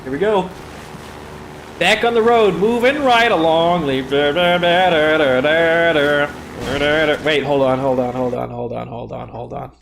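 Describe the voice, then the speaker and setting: A man's voice: on the high side, measured pace, vocal fry, fairly bright tone, slight background noise. A young man with a stuffy nose, tired and self-deprecating, rambling through casual commentary on a live game stream.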